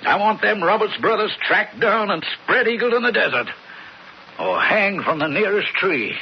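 A vengeful older rancher with a Western American drawl in a radio western. He is commanding and gruff, with a high-pitched, gravelly voice. The recording is an old, noisy broadcast. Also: expressive, moderate pace, lo-fi sound. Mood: furious. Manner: gruff, commanding, folksy frontier talk. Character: hard, vengeful older male rancher and father. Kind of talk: 1970s radio drama acting.

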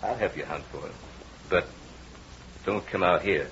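An actor playing a jealous, cold-blooded murderous rival, with a menacing, deliberate, mock-sympathetic manner in an old radio drama style. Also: male voice, low-pitched, a bit bright. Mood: falsely soothing. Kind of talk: 1940s radio acting. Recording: muffled, noisy old radio broadcast.

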